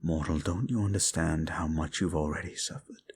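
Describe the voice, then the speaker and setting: A man voicing a bitter, ancient fallen demon who speaks scornfully to a listener in an audio drama, dropping to hushes and rising to shouts. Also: extremely low pitch, moderate pace, slight background noise. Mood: cold. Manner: contemptuous, swings from hushed to shouting.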